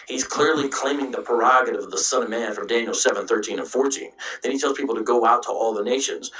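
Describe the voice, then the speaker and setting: A man giving a measured Bible lecture, played back as a clip. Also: mid-range voice, sharp tone, far from the mic. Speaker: Bible teacher. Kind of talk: recorded scripture lecture. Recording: echoey clip from off mic.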